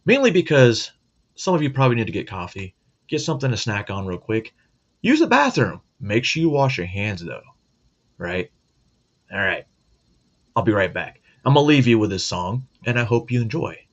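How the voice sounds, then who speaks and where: Gruff profane middle-aged man. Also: fairly low pitch, big pitch swings, brisk, dull audio. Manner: blunt, profane, joking. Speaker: host. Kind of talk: casual monologue.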